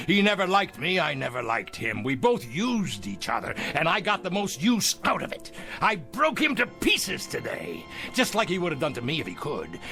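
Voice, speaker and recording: An actor playing a ruthless, boastful older tycoon in an audio drama, with a theatrical, sardonic manner. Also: male voice, on the high side, pushed and bright, exaggerated voice. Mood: bitter gloating. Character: ruthless aging male tycoon, boastful, hungry, nostalgic for hometown.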